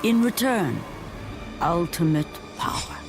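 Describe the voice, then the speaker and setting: A man's voice: high-pitched, animated, takes it slowly, over music. A man playing a solemn storyteller, narrating a mythic video game cutscene with grave, dramatic emphasis. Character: solemn epic storyteller of a mythic Greek tale.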